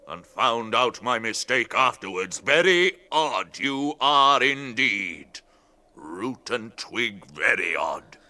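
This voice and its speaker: An actor in a radio drama playing an ancient, ponderous Ent, a tree herder who speaks deliberately, humming and musing. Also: male voice, medium pitch, bright, forceful tone. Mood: wary, curious.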